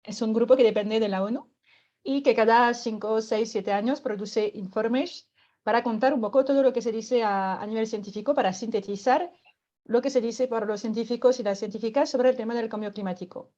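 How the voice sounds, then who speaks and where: French-accented woman, mellow and patient. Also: on the high side, steady tone, fairly quick, highs cut off. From France. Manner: patient explainer, many "bueno" and "o sea" fillers, light laughs. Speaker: guest explaining a climate workshop. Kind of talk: explanatory radio interview.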